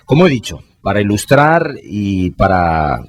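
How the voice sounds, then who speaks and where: A man hosting a live radio talk show, greeting listeners formally and pausing often with "eh". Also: on the low side, sing-song. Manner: warm formal greetings with frequent "eh" fillers. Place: radio studio.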